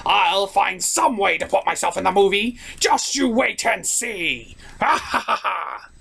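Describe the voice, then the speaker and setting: A man's voice: sits high, animated, unhurried, gravelly, pushed and bright, husky, exaggerated voice. A man voicing a vain, mischievous cartoon chaos creature, theatrical and self-important. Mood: indignant, boastful.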